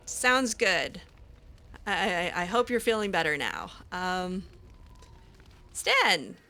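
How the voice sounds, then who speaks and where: A woman's voice: on the low side, sing-song, slow pace, sharp tone. A young woman roleplaying her game character aloud, talking hesitantly with many fillers and restarts. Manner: hesitant, filler-heavy, giggly.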